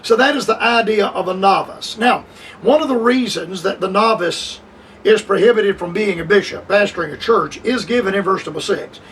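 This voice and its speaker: An older man teaches scripture on a radio broadcast in a high, earnest voice. He talks in measured phrases, repeating words and pausing on uh. The mic sits a little far off, with faint hiss behind him. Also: fairly bright tone. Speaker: radio preacher.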